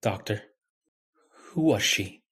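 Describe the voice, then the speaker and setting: A man's voice: fairly low pitch, lively intonation, takes it slowly, sharp tone, husky, heavily acted. A man playing a guilt-ridden, deferential young doctor in an old-time radio drama, earnest and subdued. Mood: anxious. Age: young adult.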